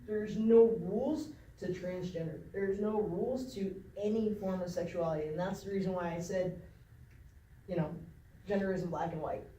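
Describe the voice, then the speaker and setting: A man from Nebraska telling his story to a group of young people in an informal talk, pausing often with lots of ums and restarts. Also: high-pitched, warm tone, a little hiss or hum. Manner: halting, many ums and restarts. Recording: echoey room from a distance.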